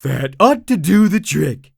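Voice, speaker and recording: Woman voicing dim starfish buddy: raised pitch, booming, slow, dopey, dry read. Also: sing-song, creaky, a little mellow, slightly rough, no echo, heavily acted. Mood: dopey, loud. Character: dim-witted friendly male starfish, loud.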